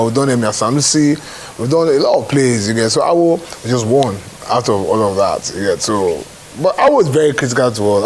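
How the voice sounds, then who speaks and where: A man with a Nigerian accent speaks informally at medium pitch, as if answering an interviewer. He repeats points for emphasis and checks in often, with hiss behind him. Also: a little mellow. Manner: emphatic repetition, frequent check-in tags. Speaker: stage play producer. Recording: clearly noisy with hiss.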